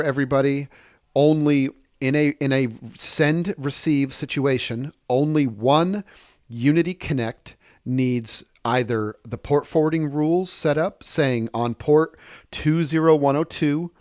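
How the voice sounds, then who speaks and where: Man giving a dry, informal software walkthrough. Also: mid-range voice, dry recording. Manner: explanatory, step-by-step, repeats points for emphasis. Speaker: software instructor and demonstrator. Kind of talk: informal screen-share software tutorial.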